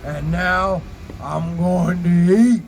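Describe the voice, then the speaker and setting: A huge, bullying grizzly bear, acted by a man, boasts in a creaky, fairly high voice. He drags out his words with slow, dim-witted menace, as in a live puppet show. There is clear outdoor noise behind him. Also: fairly even intonation, heavily acted. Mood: menacing boasting. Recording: noisy outdoor live recording, traffic rumble.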